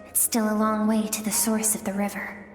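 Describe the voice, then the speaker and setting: A woman voicing a small, calm, mysterious spirit creature in a video game, telling things in a measured, enigmatic way. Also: mid-range voice, natural voice. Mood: calm, guiding. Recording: long echoing reverb over game music.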